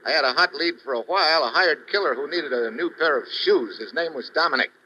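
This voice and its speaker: Bright-voiced wisecracking radio detective man. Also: a little high, lively intonation, fairly quick, a little hiss or hum, low-quality recording. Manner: wisecracking, breezy tough-guy delivery. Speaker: lead actor, foreign correspondent. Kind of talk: 1950s radio drama acting. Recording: dry old radio recording.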